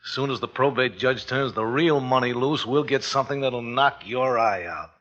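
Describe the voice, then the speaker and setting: An actor playing a brash, eager, slangy new husband with an American accent, cajoling in an old radio thriller. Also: male voice, medium pitch, normal speed, fairly bright tone, lightly acted. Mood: boastful. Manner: colloquial, cajoling. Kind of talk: 1950s radio thriller acting. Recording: noisy, dull old radio recording.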